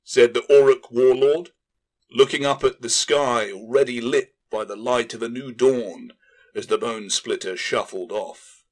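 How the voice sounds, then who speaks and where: A man narrates a fantasy audiobook in a medium-pitched voice. His delivery is scripted and dramatic, telling the tale as a storyteller. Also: steady tone, a little slow.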